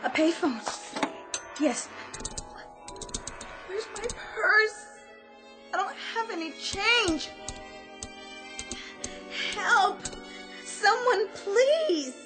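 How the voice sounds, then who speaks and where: A woman's voice: extremely high pitch, sing-song, drawn-out words, long pauses, heavily acted. An actress playing a frightened young woman trapped alone, stammering breathlessly and pleading in a radio drama. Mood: frantic pleading. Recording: radio drama with clear music underneath. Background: dramatic music.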